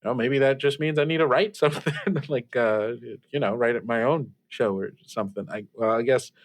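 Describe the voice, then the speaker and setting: A man's voice: medium pitch, fairly quick, lightly acted. Stammering, earnest radio host played by a man. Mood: reflective. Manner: stammering, hesitant, self-effacing. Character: earnest, awkward young male radio host, easily flustered. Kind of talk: improvised audio drama, casual call-in chat.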